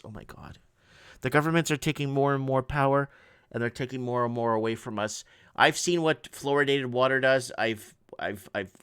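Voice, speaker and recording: A middle-aged man whose first language is Portuguese reads news stories aloud and comments casually as he goes. He stumbles, restarts and drifts into asides, and his voice sits at an ordinary pitch. The room sounds dry. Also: fairly bright tone, clear, resonant tone, no room sound. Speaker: online news show host. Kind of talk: casual commentary reading headlines.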